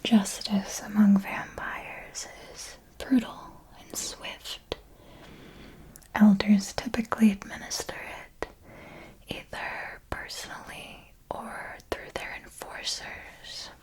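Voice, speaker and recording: A woman voicing a seductive, menacing elder vampire mentor in a breathy, deliberate ASMR whisper, with possessive, condescending affection. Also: medium pitch, lightly acted. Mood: solemn, instructive.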